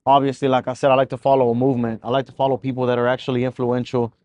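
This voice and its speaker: A man's voice: medium pitch, flat delivery, racing, mellow, dry recording, dull audio. Laid-back male podcast host. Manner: laid back, chatty, asks questions. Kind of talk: casual podcast interview.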